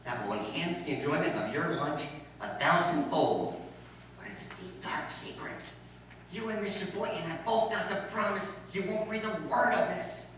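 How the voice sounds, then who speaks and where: A man's voice: high voice, moderate pace, fairly bright tone. A man playing a smug, scheming teenage schoolboy with grandiose wording, in old-time radio comedy style. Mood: eager, conspiratorial. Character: cheeky, scheming teenage schoolboy, theatrically wordy. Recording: echoey room, distant mic, faint noise.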